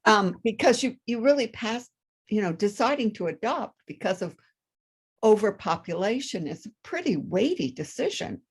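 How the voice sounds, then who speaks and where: Woman, husky voice, thoughtful feedback, self-interrupting, video call. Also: mid-range voice, sing-song, moderate pace. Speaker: workshop participant. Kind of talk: giving feedback.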